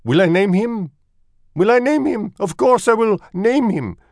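A melodramatic French mother mouse, as a male storyteller puts her on, pitched far up and swooping wildly. She is vain and sorry for herself, sighing theatrically through every tragedy in this storybook tale. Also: lively intonation, a little slow, darkish tone, no echo, studio quality. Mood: dramatic despair. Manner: theatrical, sighing, self-pitying.

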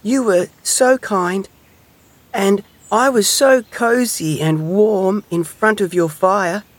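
Man voicing an excitable little hedgehog, high-pitched. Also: measured pace, dry recording, close to an everyday voice. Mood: warm, grateful. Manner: eager, childlike, expressive. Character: excitable, tender-hearted little hedgehog, young male. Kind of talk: children's storybook character voice.

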